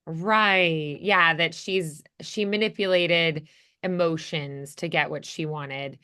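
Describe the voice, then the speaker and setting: A woman's voice: deep voice, fairly even intonation, slow pace, pushed and bright, clear, resonant tone. A woman coaching voice acting in a casual, encouraging, conversational way. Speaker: voice acting teacher.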